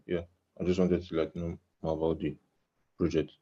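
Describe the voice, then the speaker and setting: A man's voice: low voice, flat delivery, normal speed, dark tone, highs cut off. A man from Nigeria, a designer, introducing himself on a video call with stammered repeats. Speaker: designer attendee. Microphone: computer mic on video call.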